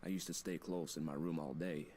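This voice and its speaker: A secretive man on the run in a visual novel, with a very low voice. He tells his tale in measured, colloquial phrases, over faint background noise. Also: fairly even intonation, darkish tone. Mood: wary, tense. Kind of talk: dramatic storytelling in a visual novel.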